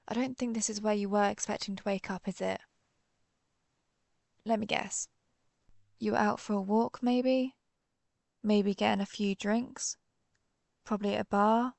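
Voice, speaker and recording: Woman playing a creaky-voiced, menacing vampire huntress. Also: medium pitch, darkish tone, no room sound. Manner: deliberate, interrogating, dryly threatening. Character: centuries-old female vampire hunter, cool, menacing, sardonic. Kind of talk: scripted roleplay audio drama addressed to the listener.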